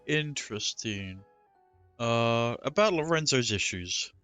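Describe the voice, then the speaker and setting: A man's voice: medium pitch, animated, slow, pushed and bright, faint music behind. A man streaming a video game, reading options aloud close to the microphone.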